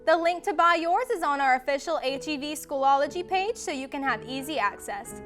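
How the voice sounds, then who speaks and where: A teenage girl reads the school news from a studio desk with a high, upbeat voice. Her delivery is brisk and scripted, anchor-style. A music bed plays clearly under her words. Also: lively intonation, fairly bright tone. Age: teens. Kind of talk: scripted school newscast reading.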